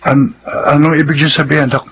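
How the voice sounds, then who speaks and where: A man's voice: on the high side, little pitch movement, a little fast, vocal fry, fairly bright tone, a little hiss or hum. An actor playing a polite, anxious male patient in a Filipino radio drama. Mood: alarmed.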